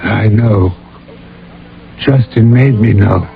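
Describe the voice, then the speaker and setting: A slow, grave, concerned official. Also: male voice, fairly low pitch, gravelly. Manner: measured, solicitous. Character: grave, concerned government man visiting a patient. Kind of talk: radio drama acting. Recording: tinny old radio broadcast.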